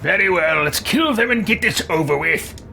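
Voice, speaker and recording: A man's voice: sits high, lively intonation, moderate pace, vocal fry, noisy background. A man streaming a game and reading dialogue aloud in character. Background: game music.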